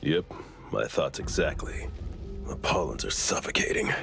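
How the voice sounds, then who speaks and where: Very deep, gravelly investigator. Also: male voice, animated, normal speed, a bit bright, faint music behind, full character voice. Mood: calm, wary. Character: calm, gruff, cautious male investigator. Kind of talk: video game voice acting.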